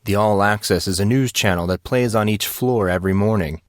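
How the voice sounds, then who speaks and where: A man voicing a composed, matter-of-fact teenage schoolboy who explains things in a measured way, in audiobook dialogue. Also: lowish voice, dry recording, studio quality, lightly acted.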